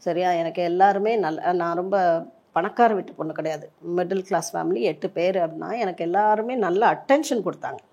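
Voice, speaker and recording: A woman's voice: on the low side, a little fast, a little mellow, dull audio. A woman speaking as a life coach in an interview, explaining emphatically with rhetorical questions and examples, mixing in English words. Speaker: life coach giving relationship advice.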